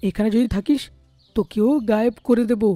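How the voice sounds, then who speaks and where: Hot-headed boy parrot for a fable performed by an actor: very mellow dark timbre, dry sound, emphatic. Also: mid-range voice, brisk, dry recording, faint music behind, lightly acted. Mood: defiant. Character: hot-headed young male parrot, defiant then remorseful.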